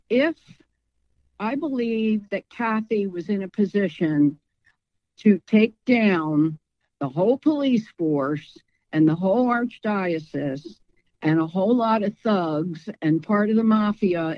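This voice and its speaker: A woman's voice: medium pitch, no echo. A woman speaking as an interview guest in a conversational, outspoken and emphatic way, with slightly lo-fi sound.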